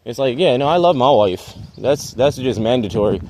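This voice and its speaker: A man's voice: medium pitch, animated, moderate pace, a little mellow, a little hiss or hum, poor audio. A man, rambling restlessly in a vlog while out in a residential neighborhood, often trailing off. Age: 20s. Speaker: vlogger.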